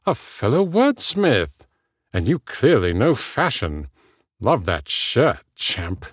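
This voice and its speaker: A man voicing a boisterous, elegant British gentleman in an audiobook, with a smooth, theatrical, effusive delivery. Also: medium pitch, wildly swooping pitch, takes it slowly, no room sound, clean studio sound, pitched above the performer's own voice, full character voice.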